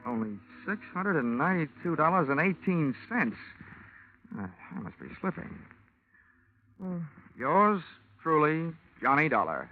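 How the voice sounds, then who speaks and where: A man's voice: on the high side, very animated, measured pace, pauses often. An actor playing a wisecracking, weary insurance investigator who narrates his own case in a dry, sardonic tone, in a 1940s radio drama. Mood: wry. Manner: wry, sardonic storytelling. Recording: dull old radio broadcast with music.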